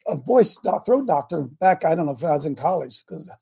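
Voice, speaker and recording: Gravelly hoarse older man. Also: on the high side, very animated, fairly quick, mellow. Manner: rambling, clears throat often. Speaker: show host. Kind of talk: casual live chat show.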